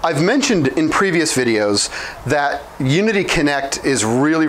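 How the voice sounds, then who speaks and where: A man's voice: fairly high pitch, fairly bright tone, slight background noise. A man around his late thirties giving an informal, step-by-step technical explanation as an instructor. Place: small indoor office room with whiteboard. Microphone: lapel mic.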